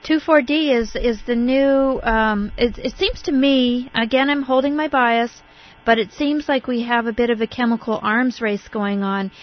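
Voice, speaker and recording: A woman's voice: fairly high pitch, steady tone, unhurried, muffled recording. A woman hosting a radio call-in, moderating politely and somewhat hesitantly in a conciliatory way. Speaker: radio host.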